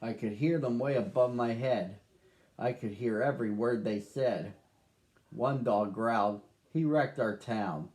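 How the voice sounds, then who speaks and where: A man reading a rhyming picture book aloud to children, with a slow, sing-song rhythm. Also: mid-range voice, darkish tone, rough recording. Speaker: storybook reader.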